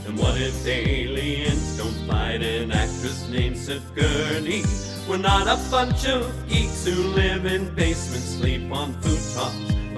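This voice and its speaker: A woman performing a comic theme song over country music. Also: a bass voice. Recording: echoey, loud music mixed in. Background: loud country music.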